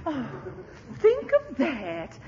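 An actress playing a gushing, flattering society matron in a 1940s radio comedy. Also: female voice, high voice, extremely slow, heavily acted. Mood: coaxing, gushing. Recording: muffled, hissy old radio recording.

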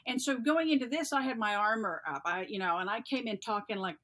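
A woman's voice: on the high side, fairly quick, fairly bright tone. A middle-aged woman, co-hosting a podcast and chatting thoughtfully. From American South. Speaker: podcast co-host. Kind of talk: casual chat.

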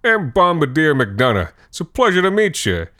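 Man doing a jovial old ex-Marine, cheeky, loud, raised pitch. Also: sing-song, normal speed. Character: hearty old male ex-Marine, cheeky and swaggering.

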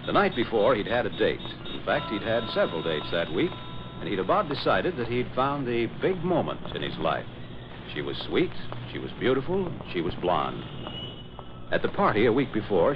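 Dull old radio sound, music behind, male narrator, dramatic 1940s announcer style, very animated. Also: lowish voice.

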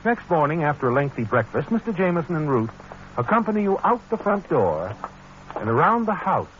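An actor playing an ominous, knowing narrator who addresses the guilty hero in a 1940s radio thriller. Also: male voice, a little high, big pitch swings, brisk, fairly bright tone, slight background noise. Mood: knowing, taunting. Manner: knowing, dramatic, addresses the character directly. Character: ominous male narrator needling the guilty protagonist. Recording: muffled old radio recording with music.

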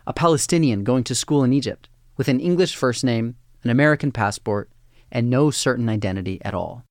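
A man narrating a documentary script in a steady, even reading. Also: mid-range voice, a little fast, no room sound, studio quality.

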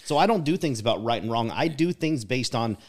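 A man's voice: medium pitch, expressive, a little fast. Reflective, emphatic man on a podcast. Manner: reflective and emphatic, leans on "like" and "right?". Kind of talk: casual podcast conversation.

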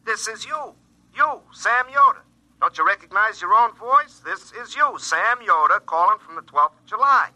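An actor playing a scheming, insistent future self in a classic radio drama, explaining emphatically through a telephone filter. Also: male voice, sits high, lively intonation, normal speed, bright, forceful tone, heavily acted. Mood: urgent. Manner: emphatic, explanatory, repeating himself. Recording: tinny, band-limited telephone line.

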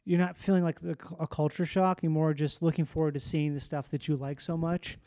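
A man's voice: fairly high pitch, flat delivery, fairly quick, dark tone, no echo. A man in casual conversation, easygoing, slangy and playful. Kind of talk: casual chat.

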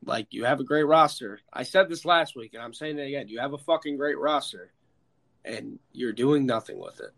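An American man hosting a casual, sweary sports chat, opinionated and emphatic, with a stuffed-up, slightly hoarse voice. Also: medium pitch, moderate pace, fairly bright tone. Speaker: sports podcast host. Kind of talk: casual, profane football chat.

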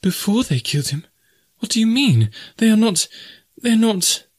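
A man takes on the part of a proud young American woman in an adventure tale. The voice is lifted high, formal and full of feeling, with wounded dignity. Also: lively intonation, a little fast, breathy edge, no room sound, clean studio sound. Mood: horrified.

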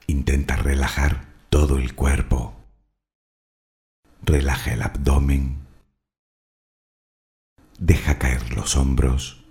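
A man leading a guided meditation, speaking soothingly and deliberately in short phrases of instruction and affirmation. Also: rumbling low, flat delivery, creaky, darkish tone.

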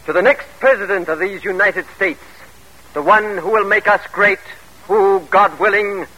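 A man's voice: high-pitched, moderate pace, bright, forceful tone. An actor playing a suave, ambitious colonel and doting father in grandiloquent 1940s radio drama style. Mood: suave, proclaiming. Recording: noisy vintage radio broadcast.

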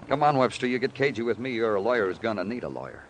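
An actor playing a brooding, sardonic police detective in an old-time radio crime drama, terse in questioning and somber in narration. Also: male voice, lowish voice, very animated, racing, slight background noise. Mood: sardonic, pressing. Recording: vintage radio recording with musical underscore.

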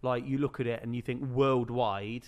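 A man's voice: mid-range voice, steady tone, moderate pace, a little mellow, lo-fi sound. Conversational male podcast co-host. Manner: asks leading questions, adds tag questions. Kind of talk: casual podcast chat.

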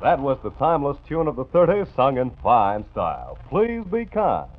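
A man's voice: medium pitch, sing-song, moderate pace. A man hosting a 1940s radio music show, introducing acts with genial, punning patter. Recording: tinny old radio broadcast.

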